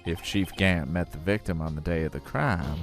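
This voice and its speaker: A man voicing an earnest young male defense lawyer in a fan dub of a game, speaking in a firm, deliberate courtroom manner. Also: low-pitched, faint music behind.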